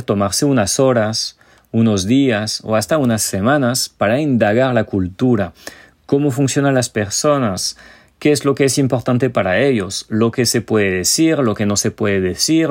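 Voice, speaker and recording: A man from France, a native French speaker with a French accent, giving informal advice straight to listeners as a language teacher and podcast host. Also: medium pitch. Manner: informal, explanatory, addresses listeners directly.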